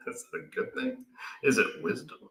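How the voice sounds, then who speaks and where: Lively, bright-voiced man in his thirties. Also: mid-range voice, measured pace. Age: 30s. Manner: laid-back, dry teasing humor. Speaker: livestream co-host. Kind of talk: casual chat on a homestead livestream. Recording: roomy echo.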